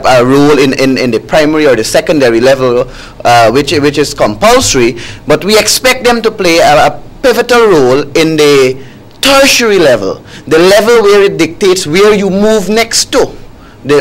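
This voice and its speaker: A young Guyanese man speaks in a somewhat high-set voice. He argues his case as a student activist in a panel discussion, repeating key phrases to drive them home. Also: very animated, normal speed, poor audio. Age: young adult. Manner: emphatic, repeats phrases for stress.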